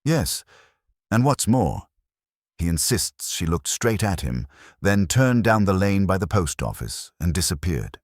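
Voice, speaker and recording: Man playing a pompous, deep-voiced town councillor. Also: a little slow, no room sound, clean studio sound, exaggerated voice. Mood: excitedly confiding. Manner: solemnly excited, confiding. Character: pompous, self-important male town councillor, eager gossip.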